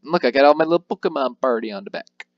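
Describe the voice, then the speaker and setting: A man's voice: medium pitch, animated, very fast, no echo. Young guy rambling over his playthrough, pokes fun at himself, kinda muffled, dry room. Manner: rambling, self-deprecating, reads game text aloud in voices. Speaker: let's play host. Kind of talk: casual gameplay commentary.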